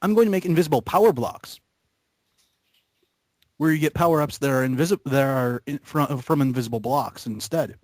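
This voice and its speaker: A man with a slightly high voice, recorded dry. He rambles casually among friends in a halting, self-deprecating way, stringing thoughts together. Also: darkish tone, no echo. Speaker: gaming group member. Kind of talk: casual rambling group chat.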